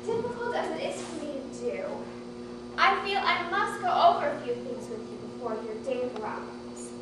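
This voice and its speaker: A woman playing a fussy, genteel aunt in a stage comedy, gushing and lecturing in a theatrical manner. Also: high-pitched. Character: fussy, genteel Southern aunt coaching her shy niece. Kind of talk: stage acting in a one-act comedy. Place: theater stage, recorded from a distance. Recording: echoey stage, distant mic, hissy background noise.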